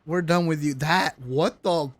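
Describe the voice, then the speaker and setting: A woman game streamer reacting casually on a live stream, blunt and expressive. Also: deep voice, a little slow, no echo.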